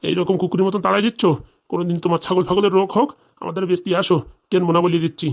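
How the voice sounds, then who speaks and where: A man's voice: high voice, little pitch movement, rapid-fire, creaky. A man voicing a pushy, easily offended old livestock broker in a comedy cartoon, with a huffy colloquial manner. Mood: offended, threatening.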